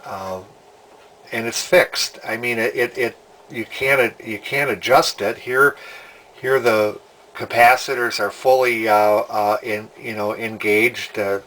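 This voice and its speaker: Male hobbyist demonstrator, slightly low pitch, bright edge, casual and hesitant delivery. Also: steady tone, slow pace, rough recording. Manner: hesitant, thinking aloud. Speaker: hobbyist radio restorer presenting a repair video. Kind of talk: casual hands-on demonstration commentary.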